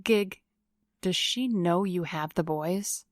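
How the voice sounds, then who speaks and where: A woman's voice: on the low side, takes it slowly, no echo, studio quality. An actress playing a tough, sardonic woman vigilante in an audio drama, with a cool, commanding manner. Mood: probing.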